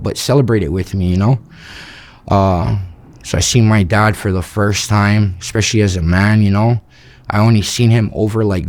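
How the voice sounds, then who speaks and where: A man of thirty from Hawaii, calmly telling his life story in an interview. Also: lowish voice. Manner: steady, matter-of-fact storytelling. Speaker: interview subject.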